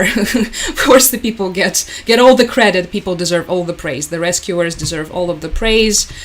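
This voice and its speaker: Somber woman hosting a live stream. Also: fairly low pitch. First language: Russian. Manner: somber, hesitant, many fillers and restarts; resigned, repeats "unfortunately" and "as always". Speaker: livestream host. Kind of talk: live commentary while reading chat.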